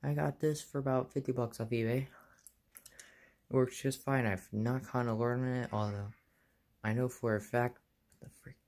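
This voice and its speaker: A young American man with a medium-pitched voice talks viewers through a hobby in a casual way. His phrases are short, plain and offhand. It sounds like a handheld phone video made in a bedroom. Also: fairly even intonation, normal speed, pauses often, a little mellow. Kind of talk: casual show-and-tell narration. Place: small carpeted bedroom, indoors. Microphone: handheld phone behind the camera.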